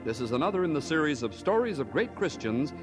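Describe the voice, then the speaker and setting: A man's voice: fairly high pitch, very animated, fast. A man giving formal, scripted narration as an old-time radio announcer. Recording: organ music behind radio announcer.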